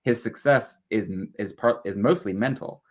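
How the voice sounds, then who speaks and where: A man speaking as a podcast guest and analyst, explaining his views in a measured, casual interview style. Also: on the low side, slightly rough.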